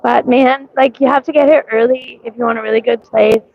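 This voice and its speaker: A woman's voice: fairly high pitch, steady tone, a little fast, gravelly, dull audio. A woman with a mixed accent and a scratchy voice from coughing, livestreaming outdoors at a street parade and rambling with many ums. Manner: rambling, many ums, greets viewers by name. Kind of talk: casual livestream chat.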